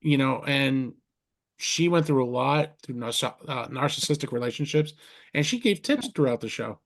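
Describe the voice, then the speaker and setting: A man hosting a podcast over a video call, rambling warmly, restarting his sentences and heaping compliments on his guests. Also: medium pitch, expressive, moderate pace. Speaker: podcast host. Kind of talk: casual interview chat.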